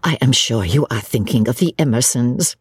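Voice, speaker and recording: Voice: mid-range voice, expressive, normal speed, slightly rough, no room sound, studio quality. A reader voicing an older spinster chaperone in an audiobook story, prim, fussy and earnestly admiring. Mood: mock scolding.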